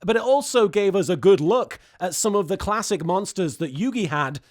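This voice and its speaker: A male reaction host with a high voice and a very lively range. He recaps with heavy sarcasm and incredulous exasperation, swearing freely as he goes. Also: normal speed. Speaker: host of an episode reaction series.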